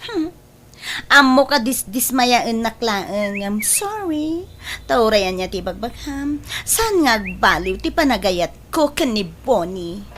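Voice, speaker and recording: A woman's voice: a little high, animated, slow, a bit bright, exaggerated voice. A woman acting a headstrong, lovestruck young daughter in an emotive audio drama, pouting and protesting. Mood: upset.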